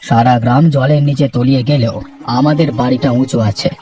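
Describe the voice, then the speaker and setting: A man's voice: medium pitch, steady tone, a little fast, mellow, far from the mic, lightly acted. A male actor plays a self-important village headman from a cartoon fairy tale. He talks in a brusque, scolding way, dismissing others with selfish irritation. Faint music runs behind him. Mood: dismissive, irritated.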